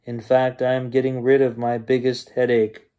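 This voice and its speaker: A man's voice: medium pitch, completely flat delivery, normal speed, dark tone, lightly acted. A storyteller voicing an exasperated, impatient man from a children's storybook. He sounds short-tempered and raises his voice a little.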